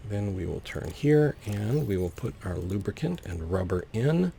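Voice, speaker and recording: Man, low voice, creaky, casual let's play commentary, easygoing, faint background noise. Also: very animated, normal speed, warm tone. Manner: narrates own actions step by step, easygoing.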